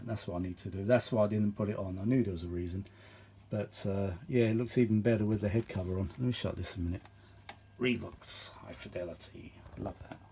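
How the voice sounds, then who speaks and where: A man's voice: lowish voice, dark tone. A British man rambling casually through a hands-on demonstration, often muttering asides to himself. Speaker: vintage audio hobbyist and video host.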